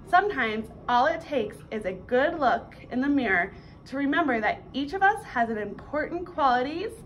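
A young woman on a school's staff, presenting and reading a children's book aloud. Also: on the high side. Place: small school room, indoors. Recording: faint background noise in room.

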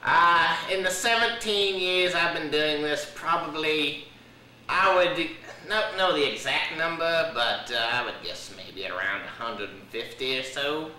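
배우가 연기하는 허풍 센 호주 악어 조련사 남자, 농담을 좋아하고 느릿한 시골 말투에 과장된 호주 억양, 멀리서 울리는 방에서 녹음됨. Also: male voice, on the high side, unhurried, bright, forceful tone, a little hiss or hum. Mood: folksy boastful. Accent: put-on Australian. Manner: jokey, folksy, drawling, laughs at own jokes. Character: boastful joking Australian crocodile handler, man. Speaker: guest playing a crocodile dentist. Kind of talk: comedy sketch acting. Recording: echoey room, heard from a distance.

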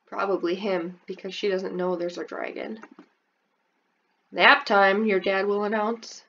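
Slow, expressive woman reading a picture book. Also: lo-fi sound. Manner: expressive, pausing to ask questions about the pictures; raises her pitch for the children's lines in the story. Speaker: preschool teacher. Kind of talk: picture book read-aloud to children.

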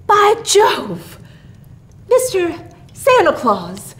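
A woman's voice: extremely high pitch, huge pitch swings, very slow, a bit bright, voice pushed up, heavily acted. Woman playing a formal, emphatic storybook father. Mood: apologetic, hearty. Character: Mister Harrison, grave, jovial well-to-do father.

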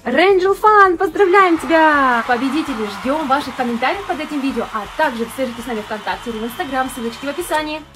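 High voiced lady hosting a kids vlog, animated, talking right to the little viewers, music playing behind. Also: quick pace, few pauses. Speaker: off-camera co-host of a family children's vlog. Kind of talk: upbeat kids' channel presenting and chat. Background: background music.

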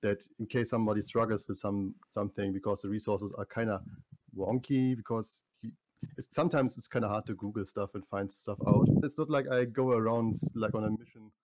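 Man, relaxed guest, low voice, dry call audio. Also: no room sound. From Germany. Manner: relaxed, drowsy and unhurried. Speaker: guest streamer. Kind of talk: casual interview over voice call.

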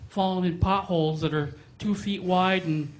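A man's voice: high-pitched, steady tone, moderate pace, a little hiss or hum. A middle-aged man speaking bluntly into a handheld microphone during public comment at a town meeting, raising complaints. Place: town hall meeting room.

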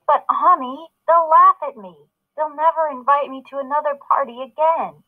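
An indignant young girl from a storybook, acted out by a woman. The voice is high and pleading, full of a wronged older sister's protest. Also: normal speed, narrow, tinny audio, natural voice.

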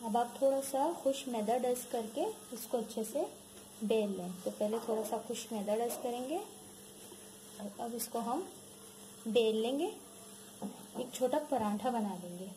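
A woman with a speech hosting a home cooking tutorial, calmly walking viewers through each step in her kitchen. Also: on the high side, unhurried, pauses often. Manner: calm, instructive, addresses viewers directly. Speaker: cooking channel host. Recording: noisy home recording with background ambience.

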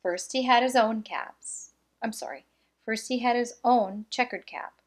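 A woman reading a children's picture book aloud, with expressive, deliberate phrasing and small asides. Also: fairly high pitch.

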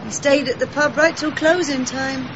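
A brassy pub landlady played by a woman, with a very high voice. She is breezy but guarded and pointed, as in a radio drama. The sound is dull, with noisy ambience behind her. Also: steady tone, moderate pace, natural voice. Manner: breezy yet pointed and warning.

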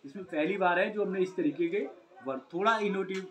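A man's voice: sits high, fairly quick, a bit bright. A young man with a Hindi accent from Uttar Pradesh shows sofas in his workshop, explaining politely and at length. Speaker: sofa maker showing his work. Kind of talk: casual showroom talk to camera. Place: cluttered indoor workshop.

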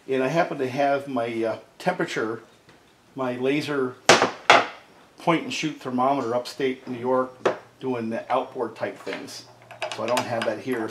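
A middle-aged man casually explaining repair steps at his workbench, often asking "right?" as he talks, recorded by a camera mic in a cluttered home workshop. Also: mid-range voice. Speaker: chainsaw repair video host. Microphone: camera mic on a tripod, speaker at the workbench. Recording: echoey workshop with background noise.

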